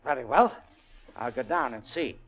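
An actor playing a fussy middle-aged householder in a 1940s radio drama. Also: male voice, mid-range voice, animated, moderate pace, muffled recording, lightly acted. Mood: blustering.

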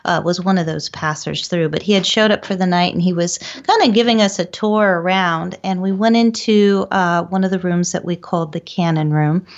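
A woman's voice: lowish voice, fairly even intonation, moderate pace, few pauses. A woman speaking as an interview guest, recounting her experiences in a conversational storytelling style. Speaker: guest, paranormal researcher and medium. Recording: dull, lo-fi call audio.

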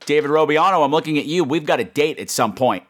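A man's voice: on the high side, lively intonation, fast, a bit bright. A man hosting a horror podcast, chatting to his listeners in a campy, playful, self-mocking and emphatic way. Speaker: podcast host.

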